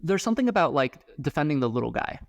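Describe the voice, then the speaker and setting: A man in his thirties, a startup founder, talking about his work in a casual podcast interview, halting, with frequent restarts and fillers. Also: on the high side, very animated, gravelly, dry recording, clean studio sound. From grew up abroad, moved to the US at eleven.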